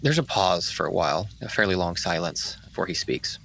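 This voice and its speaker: A man's voice: deep voice, animated, brisk, vocal fry, a bit bright, slight background noise. A man running a tabletop roleplaying game as game master, switching between casual table talk and narration.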